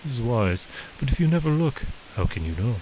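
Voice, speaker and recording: A man voicing a large, gentle, wise foreigner in an audiobook, speaking slowly and solemnly in clipped, broken English. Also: mid-range voice, big pitch swings, natural voice, poor audio. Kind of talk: audiobook character dialogue. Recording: hissy background noise.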